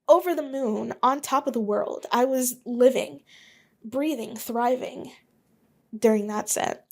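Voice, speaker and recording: A woman's voice: on the high side, darkish tone. A young woman from Alabama hosting her own podcast, rambling chattily with lots of fillers. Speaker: podcast host. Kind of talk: casual solo podcast monologue.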